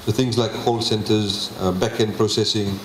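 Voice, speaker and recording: Male official, low-ish voice, measured public address with pauses, roomy hall, faint background hum. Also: fairly even intonation. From Fiji. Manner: measured, many filler pauses. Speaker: government minister presenting a budget. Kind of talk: public presentation with slides. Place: public consultation meeting hall. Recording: echoey hall, faint ambience.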